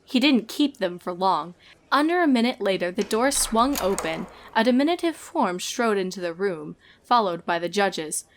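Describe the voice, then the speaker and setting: A woman reading scripted story narration in a measured, expressive storytelling manner. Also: mid-range voice, a little hiss or hum. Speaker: fan fiction reader and narrator.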